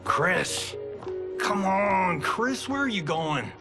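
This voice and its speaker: A flamboyant male action hero from a video game, cocky and smooth-talking. His delivery swings widely and unhurriedly through a fairly high male register, boastful and breezy throughout, over prominent music. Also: sing-song, drawn-out words, exaggerated voice. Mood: pleading. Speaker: video game character voice actor. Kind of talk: cinematic game cutscene acting.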